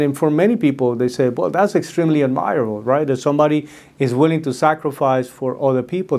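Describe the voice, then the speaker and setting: A man's voice: on the high side, creaky, a little mellow. Earnest middle-aged man with Spanish accent. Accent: Spanish-speaking. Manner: earnest, many fillers and restarts, gestures with hands. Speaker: host. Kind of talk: casual talk to camera about a book. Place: red-walled studio room with desk.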